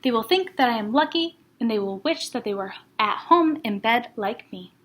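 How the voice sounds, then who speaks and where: An anxious, reluctant female storybook character read by a woman, high and whiny. She protests in the manner of someone dreading what lies ahead, in a children's read-aloud. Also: animated, normal speed, a bit bright. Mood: stubborn, whiny. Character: reluctant, anxious Sarah dreading a new school.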